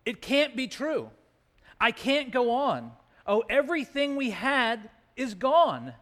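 A man's voice: very high-pitched, lively intonation, normal speed, a bit bright, pitched above the performer's own voice. A man voicing a despairing old widow from a storybook poem, wailing in dramatic rhyme. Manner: wailing, dramatic rhyming delivery. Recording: echoey hall with long reverb.

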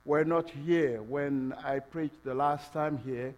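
A man from Togo with a French-English accent preaching a sermon in a church, halting with fillers, then building to passionate emphasis. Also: a little high, steady tone, darkish tone. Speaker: pastor. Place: church sanctuary.

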